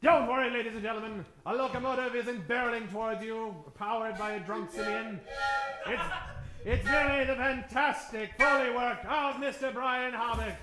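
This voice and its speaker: A man's voice: very high voice, fairly even intonation, normal speed, echoey room, crowd noise. A man hosting a live radio-theater show, announcing in a grandiose, theatrical old-time radio style. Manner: grandiose showman delivery. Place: live stage before an audience.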